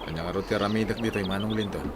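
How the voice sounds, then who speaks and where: A man's voice: on the low side, racing, background noise, lightly acted. A man playing a cheeky, timid younger companion in a radio drama. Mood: sheepish.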